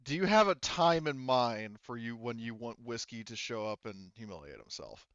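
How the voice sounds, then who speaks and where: A man's voice: medium pitch, animated, normal speed, fairly bright tone, clean tone, dry recording, lo-fi sound. A man acting as game master, narrating and chatting casually and informally, with some swearing.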